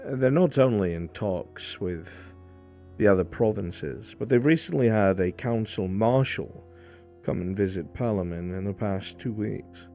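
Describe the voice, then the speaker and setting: A man playing a shrewd informant in a fantasy roleplay, speaking in a formal, deliberate way. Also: fairly low pitch, animated, gravelly, warm tone. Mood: measured, businesslike. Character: measured, shrewd male informant in fantasy court intrigue. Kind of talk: improvised fantasy roleplay dialogue. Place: online VR voice chat. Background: music.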